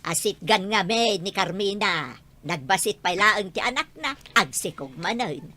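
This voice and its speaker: Woman as a sharp, scolding Ilocano mother. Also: mid-range voice, lively intonation, unhurried. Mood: disapproving. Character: scolding, protective mother of a lazy grown son. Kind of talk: Ilocano audio drama acting.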